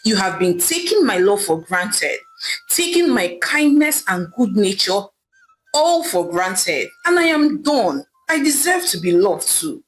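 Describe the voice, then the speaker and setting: A woman's voice: medium pitch, big pitch swings, a little slow, low-quality recording. A woman voicing an angry, fed-up husband. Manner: shouting angrily. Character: wronged husband, fed up and fuming.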